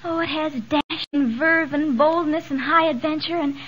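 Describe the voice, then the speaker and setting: A muffled vintage radio recording with faint noise presents a swoony teenage girl. She gushes dramatically in a bright, high voice for a forties family comedy. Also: steady tone, moderate pace. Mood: swooning. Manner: gushing, dramatic. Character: breathlessly romantic, gushing teenage girl. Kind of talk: 1940s radio situation comedy acting. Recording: dull, faintly noisy 1940s radio recording.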